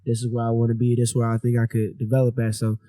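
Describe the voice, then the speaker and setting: A man's voice: medium pitch, monotone, racing, mellow, dry recording, studio quality. A man in his twenties, a podcast guest, telling stories in casual chat with slang and lots of restarts. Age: 20s. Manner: loose, rambling storytelling with slang and restarts.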